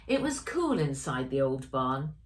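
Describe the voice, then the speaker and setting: Slow, expressive woman storyteller. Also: very deep voice. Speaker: author reading own story.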